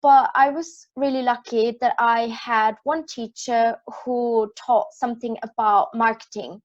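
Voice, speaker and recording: A young woman from Austria speaks English with an Austrian accent in a friendly, orderly way. Her voice sits a little high. The sound is dull and lo-fi, as on an online call. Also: fairly even intonation, a little slow. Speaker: project manager, panelist. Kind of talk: career panel talk.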